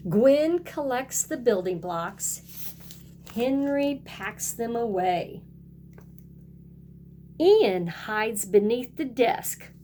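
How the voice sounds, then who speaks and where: A woman's voice: a little high, expressive, very slow, long pauses, dark tone, a little hiss or hum. A woman kindergarten teacher reading a picture book aloud and talking directly to young children in a sing-song way.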